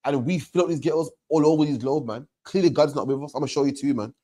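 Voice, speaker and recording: A man preaching in an emphatic, repetitive way, hammering each point home. His voice sits a little higher than most men's. He ends his phrases with "man". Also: fast, mellow. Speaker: religious teacher. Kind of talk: street preaching to a questioner.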